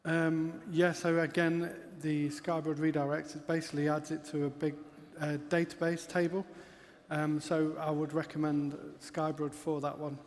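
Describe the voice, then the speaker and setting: A man answering a technical question on a conference panel, his voice slightly high and very flat in tone. Long hall reverb and faint ambience surround him. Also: moderate pace. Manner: matter-of-fact, technical. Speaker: panel speaker. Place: large hall, conference stage.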